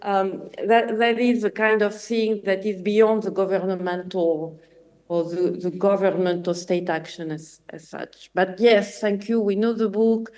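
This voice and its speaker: A French-accented woman with a soft, mellow tone comments off the cuff in an academic discussion. She speaks haltingly, repeating words for emphasis as she builds her point. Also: medium pitch, steady tone. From France.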